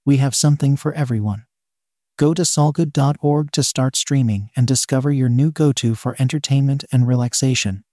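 A man's voice: medium pitch, darkish tone, no echo. Man reading a promo, very dry sound. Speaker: announcer. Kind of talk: scripted promotional ad read.

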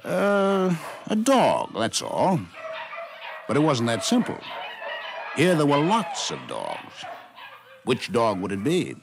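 A man's voice: a little high, wildly swooping pitch, slow, gravelly, long pauses. A man narrating a scripted radio documentary in 1950s style. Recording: noisy vintage 1950s radio recording.

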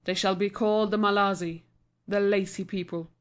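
A woman reading a storybook aloud, voicing a stern old male magician who speaks in measured commands. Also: mid-range voice, fairly even intonation, close to an everyday voice. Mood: commanding.

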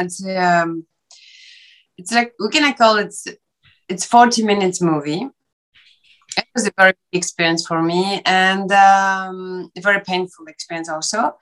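A woman with a Polish accent, an actress and director, answering interview questions in a halting way and often stopping to search for words. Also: medium pitch, fairly bright tone. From Poland. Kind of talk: interview guest, casual conversation.

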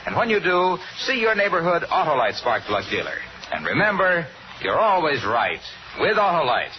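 Chipper American pitchman, lively swooping delivery, folksy, vintage radio commercial, faint noise. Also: moderate pace, highs cut off. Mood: chipper, selling. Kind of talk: 1950s radio commercial acting.

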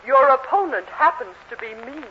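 A woman's voice: high-pitched, lively intonation, normal speed. An American woman playing a domineering, indignant middle-aged mother with an emphatic, imperious delivery in a 1940s radio comedy. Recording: hissy, muffled old radio recording.

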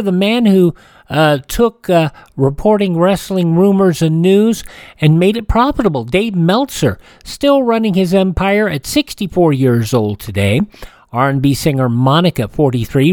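A man hosting a radio show in a casual, conversational way, with deliberate phrasing and pauses. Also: fairly high pitch, a little mellow, no room sound, studio quality. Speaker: radio host. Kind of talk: scripted but casual radio show presenting. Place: dry radio studio.

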